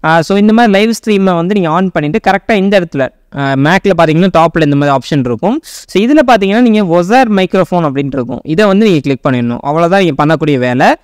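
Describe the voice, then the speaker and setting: A young man with a Tamil accent narrating a software tutorial, addressing viewers directly and mixing in English terms. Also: high-pitched, expressive, fast, clean tone, no echo, studio quality. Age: young adult. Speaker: tech tutorial presenter.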